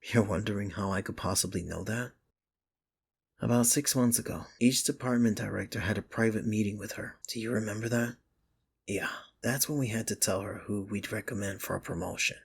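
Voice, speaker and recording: Man acting as gravelly, husky, sardonic office rival. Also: on the low side, fairly quick. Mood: earnest, admiring. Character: sardonic, secretly caring young male office rival. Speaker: ASMR voice actor. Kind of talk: intimate ASMR romance roleplay.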